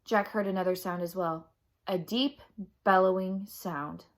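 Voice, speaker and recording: A woman reading a children's chapter book aloud, with dramatic, animated storytelling and deliberate emphasis. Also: mid-range voice.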